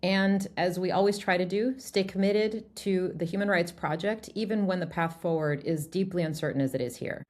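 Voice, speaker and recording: A woman hosting a podcast, reading a scripted introduction in an earnest, deliberate way. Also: on the low side, darkish tone. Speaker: podcast host. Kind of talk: scripted narration.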